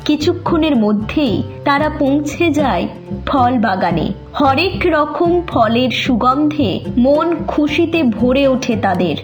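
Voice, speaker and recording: A woman's voice: high-pitched, animated, takes it slowly, soft background music. A woman narrating a scripted children's story.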